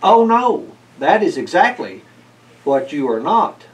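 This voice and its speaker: A man's voice: high voice, sing-song, measured pace, distant mic, pitched above the performer's own voice. A man voicing a grumbling, practical old man in a children's storybook read-aloud. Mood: scolding. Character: grumbling, practical old male farmer-like homebody.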